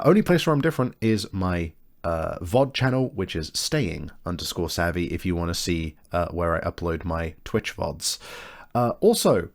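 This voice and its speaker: A man hosting a tabletop game podcast, speaking casually and hesitantly as he searches for his words. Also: fairly low pitch. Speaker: game master and show host. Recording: music behind the voice. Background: music.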